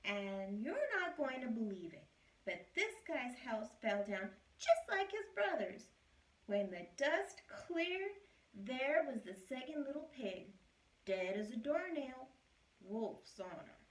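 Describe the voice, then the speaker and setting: A woman's voice: fairly high pitch, sing-song, slow pace. A woman teacher reading a storybook aloud in the voice of a male wolf who claims he was framed, telling it in a chatty, wry, self-justifying way. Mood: aggrieved, chatty. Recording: roomy echo, distant mic.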